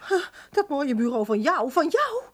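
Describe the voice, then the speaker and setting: A woman's voice: high-pitched, very animated, moderate pace. An actress playing a flustered, indignant female office secretary in a radio play, startled and hesitant.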